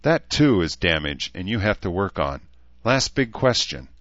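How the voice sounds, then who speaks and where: A man's voice: low-pitched, very animated, normal speed, a bit bright, no echo, lo-fi sound. A man voicing a blunt, wise but caring elf woman who talks in clipped, broken phrases, in an audiobook story. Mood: frank, advising.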